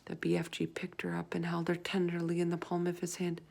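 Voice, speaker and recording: A woman storyteller reading a children's book aloud in expressive narration, with dramatic, drawn-out emphasis. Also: lowish voice, creaky.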